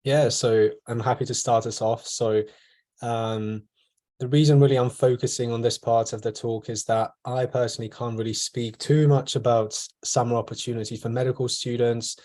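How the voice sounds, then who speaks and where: A man in his twenties from Poland, a medical student mentoring on a video call panel, speaking in a measured way with frequent ums and you-knows. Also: medium pitch, level tone, darkish tone. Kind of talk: online panel talk.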